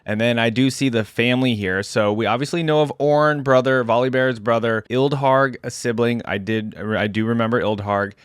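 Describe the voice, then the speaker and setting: A man's voice: medium pitch, fairly even intonation, moderate pace, hardly any pauses, clean tone, no room sound. Casual man, dry close-up sound. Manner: informal, chatty asides while reading. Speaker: video host, reaction content creator. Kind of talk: casual commentary mixed with reading wiki lore aloud.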